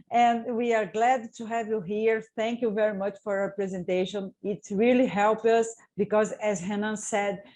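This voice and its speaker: A woman's voice: medium pitch, darkish tone. A woman, a university staff member thanking presenters over a video call and repeating words as she goes.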